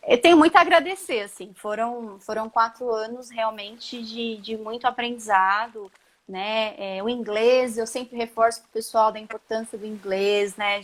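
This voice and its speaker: A woman's voice: medium pitch, poor audio. A middle-aged woman, a chemistry professor, chatting casually about her studies on a live video call, with frequent tag questions and restarts. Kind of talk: casual interview on a live stream.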